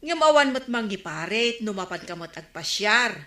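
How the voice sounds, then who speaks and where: A nosy, prodding village woman, played by an actress in a comedy radio drama, with an Ilocano accent. Her voice is medium in pitch, bright and forceful, with a roomy echo around it. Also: expressive, moderate pace, lightly acted. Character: nosy village woman egging on a neighbour's suspicion.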